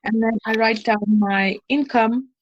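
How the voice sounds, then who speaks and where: A woman's voice: on the high side, fairly even intonation, unhurried, lo-fi sound, a little hiss or hum. A young woman hosting a podcast, chatting casually and often restarting her sentences. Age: young adult. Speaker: podcast host. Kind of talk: casual chat.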